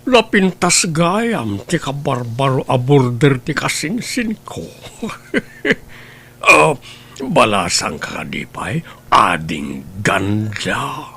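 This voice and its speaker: Flirt role: a man voicing a slow, gravelly charmer with a playful laugh. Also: mid-range voice, sing-song, bright, forceful tone, exaggerated voice. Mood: flirty. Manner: teasing, flirty, laughs often. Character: flirtatious man chatting up a married woman. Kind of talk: amateur comedy audio drama.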